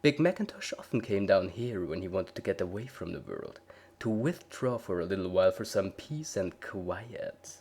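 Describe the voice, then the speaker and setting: A man reads scripted story narration in a fairly low voice. It is a dramatic reading, told by a single narrator. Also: expressive, moderate pace, darkish tone, slightly rough. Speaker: story reader.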